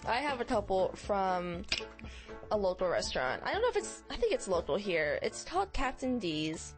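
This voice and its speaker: Animated woman chatting on a comedy podcast. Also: medium pitch, moderate pace, over music. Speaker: podcast co-host. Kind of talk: casual comedic podcast chat.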